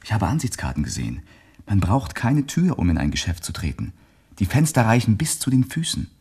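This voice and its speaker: An actor playing a sober, ambitious young man in a German radio play, earnest and firm, with a husky voice. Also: fairly low pitch, wildly swooping pitch, a little fast, a little mellow, full character voice. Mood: dreamy.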